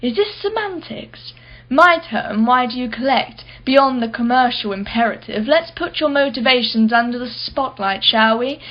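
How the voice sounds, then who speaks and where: An actress playing a wealthy, privileged art collector in a radio play, with an arch, articulate, sardonic manner. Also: female voice, sits high, animated, normal speed, muffled recording. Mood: arch, probing. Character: haughty, wealthy, privileged female art collector, witty and defensive.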